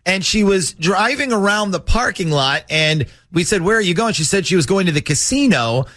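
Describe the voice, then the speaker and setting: A man from a radio show making a prank phone call, talking in a calm, matter-of-fact way with hesitant fillers. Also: sits high, normal speed, dry recording.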